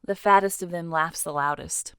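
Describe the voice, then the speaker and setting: A woman's voice: fairly low pitch, moderate pace, noisy background. A woman narrating an audio drama as scripted, dramatic storytelling.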